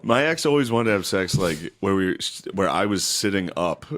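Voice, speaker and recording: Lively low-voiced wisecracking man. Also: normal speed. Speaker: podcast co-host. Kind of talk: crude comedic chat.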